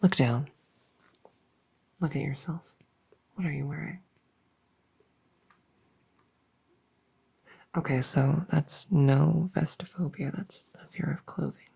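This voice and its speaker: A woman playing a silly, harmless female monster under the bed in an ASMR roleplay, soft and often whispering, rambling and repeating herself with playful earnestness. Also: deep voice, unhurried, vocal fry, long pauses, breathy edge, heavily acted, rough recording. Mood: soothing. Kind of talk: whispery ASMR audio roleplay, addressing the listener.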